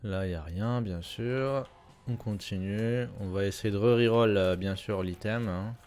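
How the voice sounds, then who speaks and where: Low-voiced man rambling over gameplay. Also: unhurried, faint music behind. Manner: relaxed, rambling, many fillers and repeats. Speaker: gaming YouTuber. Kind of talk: casual gameplay commentary. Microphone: desk mic at a gaming setup.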